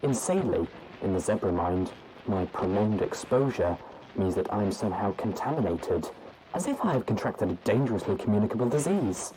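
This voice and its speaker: A man reading a story's journal entries aloud in a measured, formal way. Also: lowish voice, lively intonation, noisy background. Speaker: narrator. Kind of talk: audiobook narration of journal entries.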